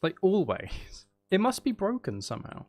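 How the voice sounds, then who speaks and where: A man's voice: sits high, huge pitch swings, normal speed. Man chatting over a game, noisy background. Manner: self-questioning, thinking aloud. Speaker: streamer, host of the channel. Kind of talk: casual gameplay commentary, chatting to viewers.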